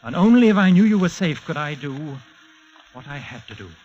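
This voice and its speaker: An actor playing an intense, scheming protector in a 1940s radio spy mystery. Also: male voice, fairly high pitch, big pitch swings, brisk, over music. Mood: intense, explaining. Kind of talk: 1940s radio drama acting. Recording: muffled, faintly hissy old radio recording.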